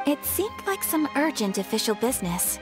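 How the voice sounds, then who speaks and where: A woman playing a gracious, demure young noblewoman in a video game, speaking formally and gently over music. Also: sits high, big pitch swings, normal speed. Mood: gracious, polite. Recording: music behind the voice.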